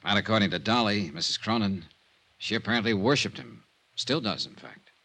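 An actor playing a tough, wry investigator, clipped and matter-of-fact, in a 1950s radio detective drama. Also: male voice, fairly low pitch, lively intonation, fairly quick, vocal fry, sharp tone, full character voice. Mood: probing. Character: tough, wry male insurance investigator narrating his case.

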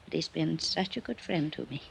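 A woman's voice: low-pitched, a little fast, creaky. An actress playing a frail, weary, wistful older woman in an old-time radio drama, lingering sentimentally over her words. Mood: wistful, fond. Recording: dull, noisy old radio recording.

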